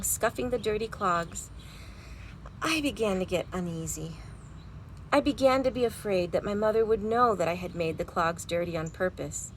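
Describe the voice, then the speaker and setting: A woman reads a children's story aloud over noticeable background noise. Her voice sits at a medium pitch, and she reads expressively, pausing for drama as a storytime librarian would. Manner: animated, dramatic pauses, chanting sound words. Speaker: librarian reading picture books at storytime. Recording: noisy home recording.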